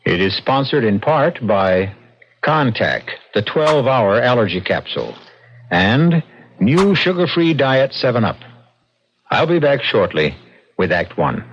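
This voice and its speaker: A man hosting and narrating a radio mystery as scripted drama, with deliberate, theatrical emphasis. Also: mid-range voice, sing-song, a little hiss or hum. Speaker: host and narrator. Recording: dull lo-fi radio, music behind.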